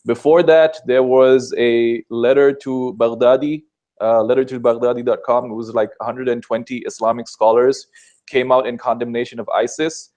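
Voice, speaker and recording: A man's voice: medium pitch, little pitch movement, normal speed, darkish tone. Earnest Sri Lankan man explaining. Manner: earnest, explanatory, many uh fillers. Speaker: guest answering questions about Islam. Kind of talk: casual interview answers.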